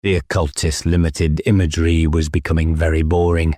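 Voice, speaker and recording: A man's voice: very deep voice, flat delivery, brisk, mellow, no room sound. A man narrating a novel dramatically as an audiobook reader, close to the microphone.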